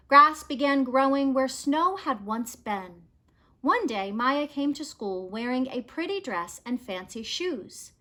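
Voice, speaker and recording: A woman narrating a children's picture book as a read-aloud, in an expressive, measured storytelling manner. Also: on the high side.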